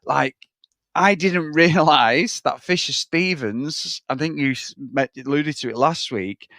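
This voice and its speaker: A man's voice: on the high side, slow, gravelly, a bit bright, no echo, clean studio sound. A man, co-hosting a podcast and chatting casually about a film in a frank, rambling way. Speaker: podcast co-host. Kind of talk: casual film review chat.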